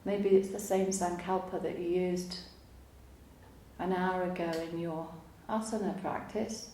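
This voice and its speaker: Mellow woman guiding relaxation, roomy echo. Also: on the low side. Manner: calm, soothing, unhurried with long pauses. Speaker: yoga nidra teacher. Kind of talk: guided relaxation meditation. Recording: roomy echo, faint background noise.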